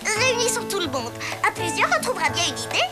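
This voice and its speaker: An actor voicing a high-voiced, caring, excitable young female animal in a French children's cartoon. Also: quick pace, over loud music, heavily acted. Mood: eager.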